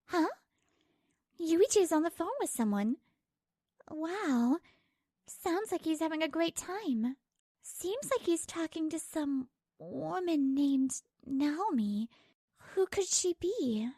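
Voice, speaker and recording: A woman's voice: high voice, expressive, slow pace, warm tone, no room sound, studio quality, full character voice. A woman playing a hurt, betrayed young wife who narrates her troubles emotively in a manga dub. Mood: suspicious. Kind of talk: dramatised first-person manga dub narration.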